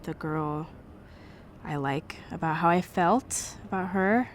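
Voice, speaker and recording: Hurt, lovesick teenage granddaughter. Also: female voice, on the low side, takes it slowly, slight background noise. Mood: wistful, frustrated. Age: young adult. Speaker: voice actor in audio drama. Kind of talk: audio drama acting.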